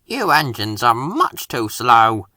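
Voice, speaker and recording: A man's voice: mid-range voice, big pitch swings, takes it slowly, pushed and bright, dry recording, clean studio sound, pitched below the performer's own voice, full character voice. Man voicing a boastful, swooping storybook helicopter. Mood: smug. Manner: smug, boasting. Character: boastful, proud male helicopter.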